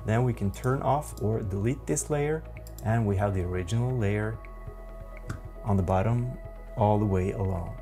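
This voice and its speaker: A man in his forties with a Norwegian accent, giving a software tutorial in a methodical, halting way. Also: lowish voice, faint music behind. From Norway. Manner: slow, methodical, halting explanations. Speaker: photography instructor.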